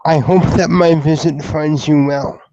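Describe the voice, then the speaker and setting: A man plays a well-mannered troll in a tabletop game. He talks very slowly, with halting, formal courtesy and a deferential politeness. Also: fairly high pitch, fairly even intonation, dark tone, no echo, lo-fi sound. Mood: polite.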